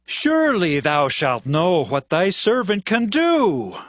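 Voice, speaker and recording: A man's voice: sits high, wildly swooping pitch, slow, a bit bright, no room sound, studio quality, full character voice. A man playing an earnest, loyal young warrior in a dramatized scripture recording, speaking formally and declaiming his lines. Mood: humble request.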